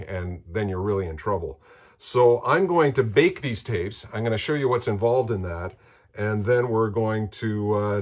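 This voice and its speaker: Man in his sixties, low pitch, rough husky voice, casual halting explainer. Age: 60s. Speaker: musician and presenter. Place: small rooms in a home.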